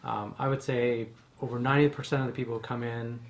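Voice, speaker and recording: A man's voice: medium pitch, flat delivery, fast talker. A man with an American accent speaking casually as a bar co-founder, explaining things in an interview. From United States.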